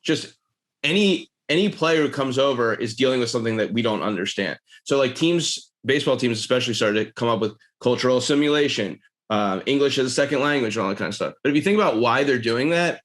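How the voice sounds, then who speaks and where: A man from Philadelphia hosts a podcast in casual conversation. His voice sits at an ordinary mid pitch. He talks with earnest animation and often restarts his phrases. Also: expressive, fast, fairly bright tone. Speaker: podcast host.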